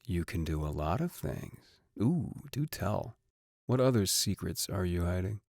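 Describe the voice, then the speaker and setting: Man playing a low-voiced flirty band idol. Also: very animated, a little slow, vocal fry, a little mellow, no room sound, clean studio sound, exaggerated voice. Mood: flirty, teasing. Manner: playful, suggestive, drawling asides. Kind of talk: scripted romantic audio roleplay.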